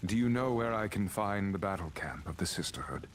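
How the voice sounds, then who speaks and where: Stern, polite wandering adventurer acted by a man, low register, for a fantasy game. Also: expressive, brisk, a little hiss or hum, close to an everyday voice. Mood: polite, earnest. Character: stern, courteous male wandering adventurer hero.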